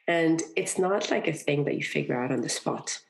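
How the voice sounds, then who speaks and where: A woman from Egypt, a guest on a podcast, talking earnestly and reflectively in a relaxed interview, often adding "you know" and "right". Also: low voice, normal speed, creaky. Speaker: podcast guest, parenting coach. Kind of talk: conversational interview.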